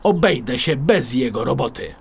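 A man's voice: mid-range voice, animated, normal speed, gravelly, slight background noise. Man putting on a haughty, stingy rich widow. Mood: indignant.

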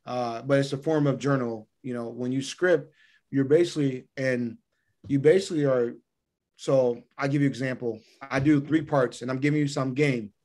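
A man's voice: medium pitch, fairly even intonation, normal speed, darkish tone. A middle-aged man speaking as a podcast guest over a video call, explaining his routines conversationally and repeating phrases for emphasis. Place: indoor room by a window, on a video call.

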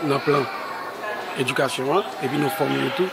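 A man, a school supervisor explaining his program in an interview, speaking in a measured way in a school hallway. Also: medium pitch, gravelly. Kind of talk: interview answers. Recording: loud background noise.

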